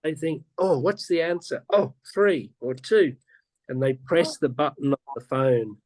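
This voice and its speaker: Man, teacher, slow unhurried talk, patient, restarts sentences, dry close sound, video call. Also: a little high, darkish tone, no echo, poor audio. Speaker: teacher being interviewed. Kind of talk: casual explaining in an online interview.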